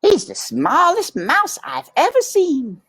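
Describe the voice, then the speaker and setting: A woman's voice: high voice, huge pitch swings, slow pace, a bit bright, no echo, clean studio sound, voice pushed up, exaggerated voice. A woman voicing a haughty, indignant aunt mouse in a storybook, making emphatic pronouncements. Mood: scandalized.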